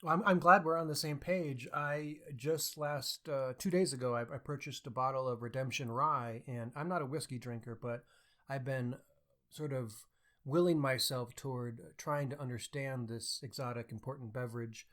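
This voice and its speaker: A man's voice: mid-range voice, fairly even intonation, normal speed, a bit bright. Male co-host for a books podcast, middle register, conversational delivery. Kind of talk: casual book discussion.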